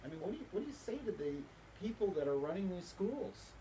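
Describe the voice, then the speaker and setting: A man's voice: sits high, sing-song, fast, mellow, low-quality recording. Emphatic male interviewer, faint background noise. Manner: opinionated, emphatic, repeats phrases for effect. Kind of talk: informal on-location interview. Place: inside a bookstore.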